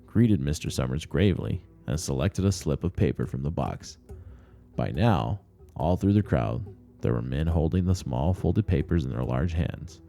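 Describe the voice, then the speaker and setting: A man reading a short story aloud as narrator, in expressive scripted storytelling. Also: rumbling low, creaky. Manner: expressive, acts out dialogue.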